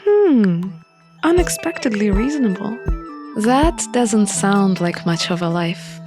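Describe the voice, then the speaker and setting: A woman's voice: medium pitch, expressive, takes it slowly. Lady acting sarcastic defiant young woman from a visual novel, takes her time, music under it. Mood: questioning, skeptical. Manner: sarcastic, challenging. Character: Nova, sardonic defiant young royal runaway woman.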